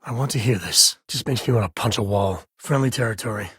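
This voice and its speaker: An actor playing a wry, easygoing space cowboy explorer in a video game, a man with a husky, raspy voice and folksy, laid-back phrasing. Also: fairly low pitch, fairly quick, fairly bright tone, dry recording, clean studio sound. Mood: guarded. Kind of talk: video game character voice lines.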